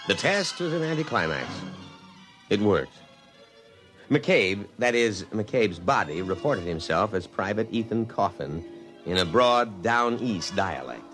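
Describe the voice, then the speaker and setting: An actor playing a pompous, ambitious army colonel in a 1950s radio drama, speaking with clipped military formality. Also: male voice, on the low side, expressive, measured pace, fairly bright tone. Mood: narrating. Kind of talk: 1950s radio drama acting and first-person narration. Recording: vintage 1950s radio broadcast with music.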